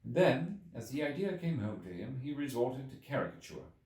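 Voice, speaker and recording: A man's voice: medium pitch, animated, moderate pace, a little mellow. A man reading a literary story aloud as scripted narration, in a dramatic storytelling manner. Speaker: audiobook reader. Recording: roomy echo, distant from mic.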